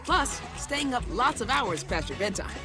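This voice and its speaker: Female commercial announcer, slightly high voice, scripted read with clear music bed. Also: sing-song, moderate pace. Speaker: advertisement announcer. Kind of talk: scripted ad narration.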